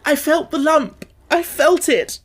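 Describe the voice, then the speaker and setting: Woman as a hysterical, high, sobbing young boy. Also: slow. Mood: sobbing panic. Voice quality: choked, gasping, sobbing. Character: hysterical, self-pitying sickly young boy.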